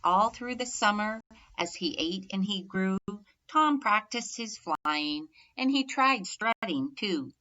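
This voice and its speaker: A woman reading a rhyming children's story aloud expressively, measured and emphatic on the rhymes. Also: mid-range voice, lively intonation, pushed and bright, lo-fi sound. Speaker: storybook reader.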